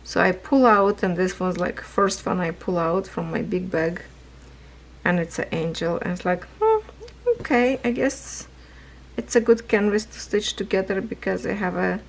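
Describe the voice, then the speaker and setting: Hoarse woman, low gravelly voice, slow rambling craft chat, laughs often. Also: poor audio. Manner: rambling, non-native phrasing, laughs often. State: losing her voice. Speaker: craft vlogger. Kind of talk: casual chat while stitching.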